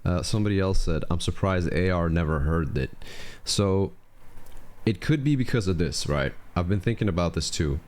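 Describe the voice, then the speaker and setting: Deep-voiced man chatting. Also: moderate pace. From Belgium. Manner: relaxed and chatty, with fillers and restarts. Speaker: reaction video host. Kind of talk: casual reaction commentary.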